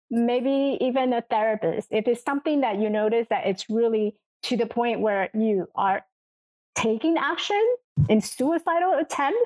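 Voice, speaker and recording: A woman's voice: a little high, a little mellow, poor audio. A middle-aged woman from Taiwan giving reflective, earnest answers as an interview guest, often repeating words as she finds her phrasing. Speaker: interview guest, coach and memoir author.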